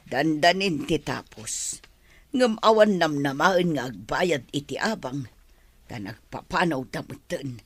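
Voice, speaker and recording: A woman's voice: low voice, lively intonation, unhurried, slightly rough, no echo, heavily acted. A woman with an Ilocano accent playing a nagging, scolding older mother in an audio drama. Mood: worried grumbling. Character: nagging older mother fretting over bills and gambling.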